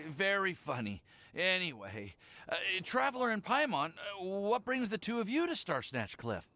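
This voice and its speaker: A man's voice: high voice, huge pitch swings, a little slow, bright, forceful tone, a little hiss or hum, full character voice. An actor playing a nervous, apologetic young man in love, stammering and earnest in a video game cutscene. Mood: embarrassed. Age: young adult.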